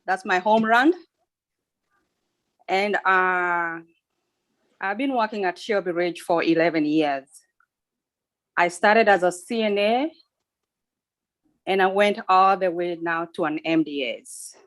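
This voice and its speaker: A woman's voice: lowish voice, slow, pauses often, fairly bright tone. A middle-aged woman with a Kenyan accent, speaking informally as a nurse on a panel over a video call. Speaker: nurse and job fair panelist.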